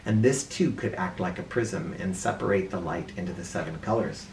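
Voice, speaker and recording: A man reading a children's story aloud as a storyteller, in an animated, theatrical way with drawn-out emphasis. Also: deep voice, background noise. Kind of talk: expressive storybook read-aloud. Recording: roomy echo, distant mic.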